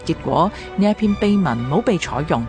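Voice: medium pitch, animated, normal speed. A narrator with a Hong Kong Cantonese accent reading a scripted historical radio narration. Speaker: documentary narrator. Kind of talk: scripted dramatic narration with read quotations. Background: music.

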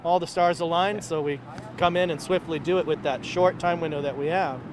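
A man's voice: fairly high pitch, steady tone, a little fast. Upbeat man on a cleanup crew. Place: outdoors on a beach. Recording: outdoor traffic noise.

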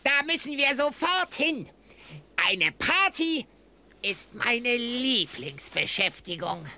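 A party-mad little chatterbox puppet for a children's audio play. The voice is high and piercingly bright, with unhurried, stretched words. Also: female voice, lively intonation, slight background noise, full character voice. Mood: excited. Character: excited party-loving chatterbox Kasper puppet imp.

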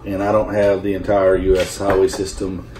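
Man, thirties, low voice, practical, chatty, roomy kitchen, far camera, faint hum. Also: normal speed. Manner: steady, practical, hesitant with fillers. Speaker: interview guest, survival gear expert. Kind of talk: casual explanatory chat. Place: home kitchen, indoors.

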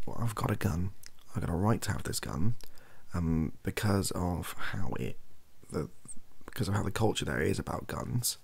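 A soft-spoken British man rambles in an improvised, ASMR-style comedic commentary. His voice is very low and creaky, yet it moves around with lively intonation. He keeps breaking off and correcting himself, with a dry sense of humour. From Wales, UK. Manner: rambling, self-interrupting, dryly humorous. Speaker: comedy ASMR video creator. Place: small cluttered bedroom or home office, indoors.

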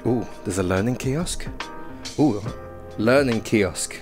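A man's voice: lowish voice, expressive, slow pace. Chatty young man playing a VR game. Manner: chatty, self-deprecating, reads prompts aloud. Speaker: gaming YouTuber. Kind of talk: first-impressions gameplay commentary. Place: small indoor room playing VR. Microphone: headset mic. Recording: game music behind.

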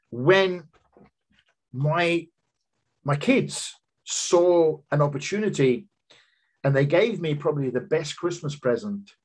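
Guy in his 50s doing a business seminar over webcam, kinda husky, voice goes up and down a lot, earnest storytelling. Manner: earnest, personal storytelling pitch with emphatic rises. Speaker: business coach giving a seminar talk. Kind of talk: seminar presentation over slides. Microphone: video call webcam.

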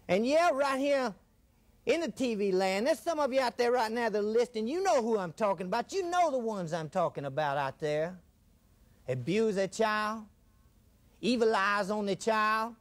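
A man with a very high voice delivering a fiery TV sermon. He preaches dramatically, repeating phrases and coining strange words. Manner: dramatic, repetitive, coins odd words.

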